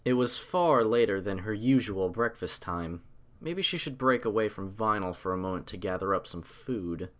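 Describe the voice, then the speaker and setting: A man's voice: on the low side, lively intonation, moderate pace, a bit bright. A man reading scripted story narration as the narrator of an audio tale.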